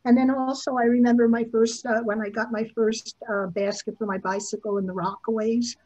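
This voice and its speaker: Female from New York, husky, conversational storyteller. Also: fairly high pitch, flat delivery, fairly quick, darkish tone, rough recording. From the Bronx and suburban New York. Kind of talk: casual personal storytelling. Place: video call.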